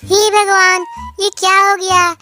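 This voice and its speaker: Very high-pitched, plaintive little female bird voiced by a woman. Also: flat delivery, slow, bright, forceful tone, faint music behind, exaggerated voice. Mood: distressed pleading. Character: long-suffering, pleading young female bird, wife and mother. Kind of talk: Hindi children's cartoon dialogue.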